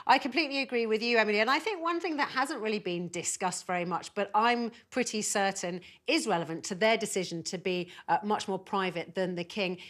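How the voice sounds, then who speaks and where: A woman's voice: mid-range voice, fairly bright tone. A British woman hosting a news programme, talking in a chatty, discursive, conversational broadcast style.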